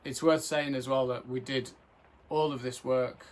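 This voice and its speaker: A male filmmaker speaking earnestly and thoughtfully about his work, pausing often with fillers. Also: mid-range voice, fairly even intonation, a little hiss or hum. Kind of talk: casual film introduction.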